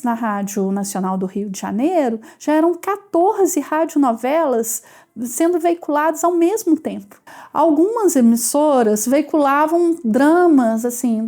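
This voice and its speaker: A woman's voice: high-pitched, dark tone. A woman with a Brazilian accent, explaining things as an expert in an interview.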